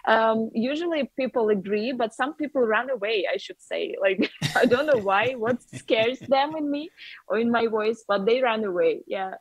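A woman's voice: on the high side, normal speed, no echo, low-quality recording. A woman in her thirties with a Russian accent, chatting as the guest on a video call interview through wireless earbuds, with lots of fillers and laughter. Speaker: artist guest. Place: bright room with a wooden ceiling.

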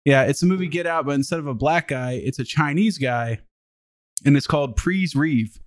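A man's voice: fairly high pitch, normal speed, no room sound, studio quality. A man co-hosting a podcast, chatting casually and teasing his friends with jokes. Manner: teasing, joking. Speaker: podcast co-host. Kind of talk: casual chat.

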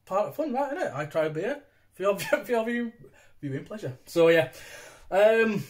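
A man's voice: high voice, very animated, moderate pace. A British man in his thirties giving a casual, chatty, informal review to camera, recorded on a phone in a room at home. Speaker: beer reviewer, vlog host. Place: small domestic room indoors. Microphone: phone propped at arm's length.

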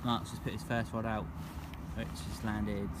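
A young man giving brisk, matter-of-fact commentary outdoors by a lake, filmed on a phone at arm's length. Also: fairly low pitch, level tone. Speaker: angler vlogger. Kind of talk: casual outdoor vlog. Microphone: phone camera at arm's length. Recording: outdoor noise with birds honking.

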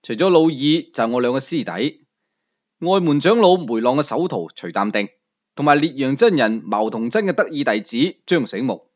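A man's voice: medium pitch, expressive, normal speed, muffled recording. A man with a Cantonese accent narrating a scripted first-person novel as an audiobook storyteller.

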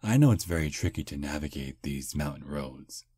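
Man doing a smooth male vampire, husky low voice, flattering and gentlemanly, dry close sound. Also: moderate pace, no echo, heavily acted. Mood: smooth flirting. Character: charming polite male vampire on a first date. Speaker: voice actor. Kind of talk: ASMR roleplay.

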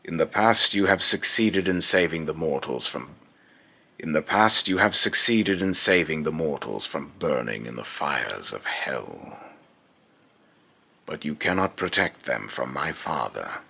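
A man's voice: rumbling low, big pitch swings, bright, forceful tone, heavily acted. A young man playing a menacing, scornful godlike villain in pompous, theatrical monologue, recorded in a small room at home. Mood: scornful, accusing. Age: young adult. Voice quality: gravelly, raspy. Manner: slow, pompous, theatrical delivery. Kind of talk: dramatic villain monologue, rehearsed voice lines.

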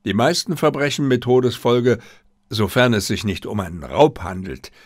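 A man reading the part of a confident, gently mocking detective with an assured, lecturing manner in an audiobook. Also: medium pitch, animated, normal speed, dry recording, studio quality, natural voice. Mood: dry, lecturing.

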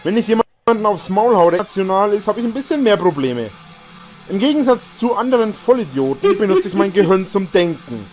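A man's voice: sits high, very animated, brisk, a little mellow, faint music behind. A man ranting in a boastful, insulting monologue. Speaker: streamer.

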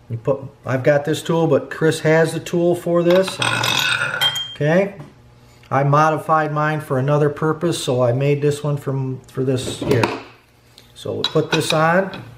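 A man's voice: on the high side, slight background noise. Plainspoken middle-aged man in echoey garage. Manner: plainspoken, rambling with fillers. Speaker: snowmobile clutch mechanic. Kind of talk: hands-on workshop tutorial. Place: garage workbench. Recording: echoey workshop.

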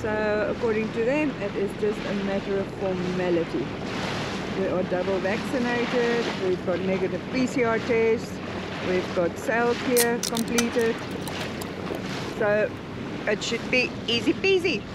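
Female vlogger, mid pitch, casual update, heavy boat and wind noise. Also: a little slow. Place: outdoors on a small boat. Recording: very noisy, boat engine and wind.

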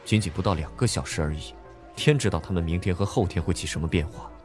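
A man's voice: low voice, fast, darkish tone, faint music behind, natural voice. An actor playing a level-headed, studious male student in an audio drama, speaking in a reasoned, matter-of-fact way. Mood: cautionary.

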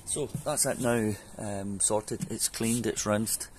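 A man with a very low voice talks viewers through a chore in loose, chatty sentences, full of ums and restarts. He is out in his back garden, caught by a camera mic with soft outdoor sound behind him. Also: steady tone, takes it slowly, background noise. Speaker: home DIY vlogger. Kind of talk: casual how-to vlog. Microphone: camera mic on a fixed camera.

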